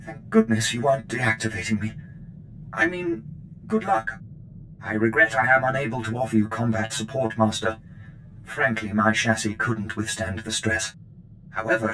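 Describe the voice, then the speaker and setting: A man voices a servile ship droid for a sci-fi game, low in pitch. He is formal, fussy and eager to please, over clear rumbling noise. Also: lightly acted. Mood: anxious, servile. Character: servile, anxious male ship droid steward. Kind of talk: scripted game dialogue.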